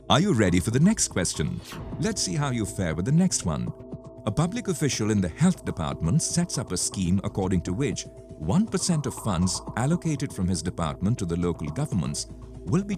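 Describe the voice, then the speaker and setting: Low-voiced male quiz host, animated delivery. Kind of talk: scripted quiz show presenting.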